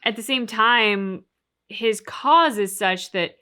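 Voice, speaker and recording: A woman's voice: fairly high pitch, takes it slowly, bright, forceful tone, smooth. A woman hosting a reaction show, giving casual analysis in an emphatic, opinionated way and reasoning aloud through rhetorical questions.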